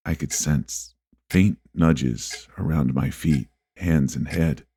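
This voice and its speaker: Very deep, dark-voiced man narrating horror. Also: creaky, slight background noise. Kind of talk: scripted first-person horror narration.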